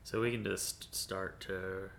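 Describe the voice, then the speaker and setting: Gravelly low-voiced young man rambling. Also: steady tone, slow pace. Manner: rambling, laughing often, trailing off into quieter asides. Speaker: podcast host. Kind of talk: casual chat. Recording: faint background noise and rumble.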